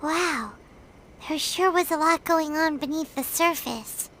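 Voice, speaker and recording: A woman's voice: high voice, a little slow, fairly bright tone, slight background noise, rough recording. A woman voicing a tiny, curious, perky childlike fairy companion in a video game. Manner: perky, childlike, speaks of self in third person. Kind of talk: video game character acting.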